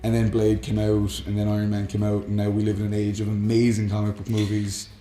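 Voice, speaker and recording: A man's voice: fairly low pitch, monotone, a little fast, mellow, reverberant, soft background music. A man co-hosting a casual, jokey banter show about movies, often chuckling as he talks. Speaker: co-host of a film discussion show.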